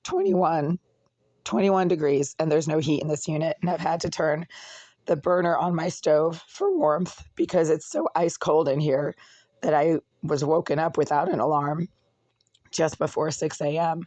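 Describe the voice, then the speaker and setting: A vlogger with a hoarse, raspy voice that cracks and breaks, ranting in an aggrieved way from a cold apartment. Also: medium pitch, normal speed, a little mellow, no room sound. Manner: aggrieved, ranting, insults critics. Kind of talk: casual complaint vlog.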